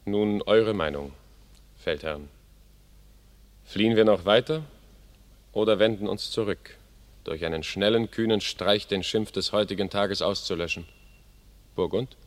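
Actor as a haughty commander, deepish voice, reverberant. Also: male voice, measured pace, long pauses, lightly acted. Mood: resolute. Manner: declamatory, cocky. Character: bold, haughty young English commander. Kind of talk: classical stage verse radio drama. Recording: long hall reverb.

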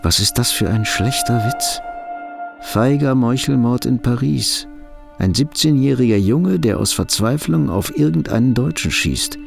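A man's voice: medium pitch, expressive, unhurried, creaky, music in the background. An actor playing an anxious, bitterly ironic fugitive businessman in a radio drama, thinking aloud in interior monologue.

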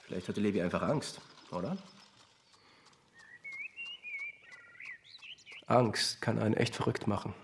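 A man's voice: lowish voice, brisk, creaky, slight background noise. An actor playing a blunt, resentful teenage boy in a radio drama, talking in youth slang. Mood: bitter.

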